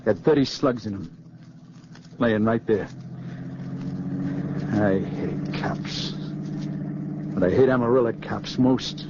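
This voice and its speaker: An actor playing a vengeful, boastful American gangster in a 1940s radio crime drama. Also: male voice, medium pitch, slow pace, long pauses, close to an everyday voice. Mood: brooding hatred. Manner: tough, boastful, menacing. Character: vengeful, cop-hating American gangster jailbreaker. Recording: noisy, muffled 1940s radio recording.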